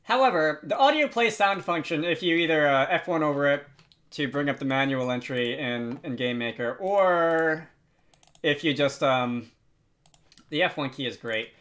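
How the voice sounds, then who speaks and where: A man narrating an unscripted software tutorial, thinking aloud with frequent hesitant pauses. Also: a little high. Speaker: tutorial host.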